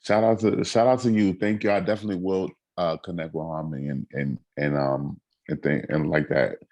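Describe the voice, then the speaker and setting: A man who is a featured music artist, talking in a relaxed and grateful way, with plenty of fillers. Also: extremely low pitch, brisk, dry recording. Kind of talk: casual video call chat.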